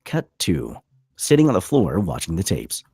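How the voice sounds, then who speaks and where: A man's voice: low-pitched, huge pitch swings, normal speed, a little mellow, slightly rough, no room sound, clean studio sound. A man reading scripted stage directions as the narrator of a screenplay.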